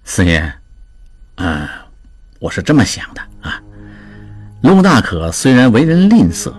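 A man voicing a calm, scheming merchant in an audiobook, speaking in a smooth, slyly jovial, unhurried way. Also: fairly low pitch, soft background music, heavily acted. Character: sly, scheming male merchant, calm and calculating. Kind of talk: audiobook character voice.

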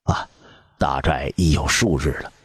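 A man's voice: very deep voice, unhurried, slightly rough, dry recording, clean studio sound, heavily acted. A man voicing a hesitant male court minister who hedges his answers. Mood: evasive. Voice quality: hesitant, hedging.

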